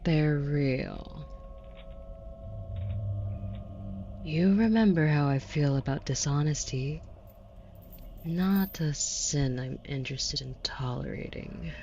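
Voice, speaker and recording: Woman acting a low, unhurried, haughty demon woman. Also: dark tone. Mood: mocking, skeptical. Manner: sardonic, condescending, drawling. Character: haughty, flirty, sardonic female demon buyer at an auction. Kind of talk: scripted audio roleplay acting. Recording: muffled, with audible background noise.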